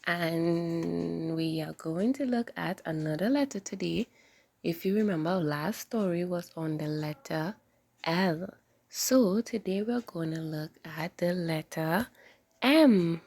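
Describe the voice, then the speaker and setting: A woman hosting a children's story time, reading aloud in an animated, expressive way. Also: lowish voice, takes it slowly, vocal fry.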